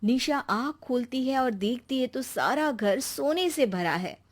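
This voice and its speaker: A woman with a fairly high voice tells a moral tale. Her delivery is scripted narration, in the manner of a storyteller. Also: expressive, unhurried.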